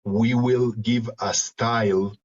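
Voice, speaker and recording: Man, Argentine Spanish accent, unhurried and halting, slightly husky, reflective interview talk, video call. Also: mid-range voice, fairly even intonation. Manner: halting, searching, explains in short phrases. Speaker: interview guest, creative and therapeutic facilitator. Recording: dull lo-fi video call audio.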